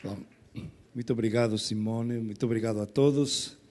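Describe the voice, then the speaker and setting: A middle-aged man from Spain with a Spanish accent, Spanish as his first language, giving a relaxed, self-deprecating conference talk in Portuguese with frequent restarts. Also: on the low side, normal speed, a little hiss or hum. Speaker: lecturer.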